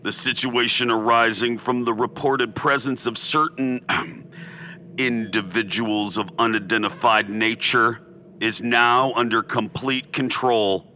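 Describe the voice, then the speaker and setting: Man acting forceful, blustering military commander. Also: mid-range voice, steady tone, takes it slowly, a little hiss or hum. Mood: confident. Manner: pompous, declamatory, folksy. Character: blustering military field commander and bomber lieutenant. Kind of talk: comedic retro radio drama acting.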